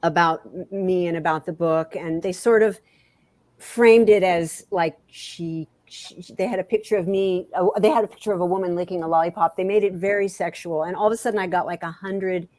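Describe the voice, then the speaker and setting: A woman speaking as an author guest in a candid interview, halting and repeating phrases for emphasis. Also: on the low side, fairly even intonation, a little mellow, dry recording.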